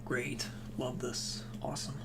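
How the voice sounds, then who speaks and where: A young man with a naturally hoarse voice, casually commenting on a game he plays while reading and answering his chat. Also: little pitch movement, a little slow, over loud music. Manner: low-key, mumbling, encouraging. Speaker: game streamer.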